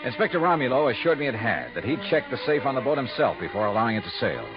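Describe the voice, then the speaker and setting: An American actor playing a shrewd, wry insurance investigator in a 1950s radio detective drama, speaking in a clipped, dry way. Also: male voice, mid-range voice, very animated, quick pace, close to an everyday voice. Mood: brisk, wry narrating. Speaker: radio drama lead and narrator. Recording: dull vintage radio recording with music.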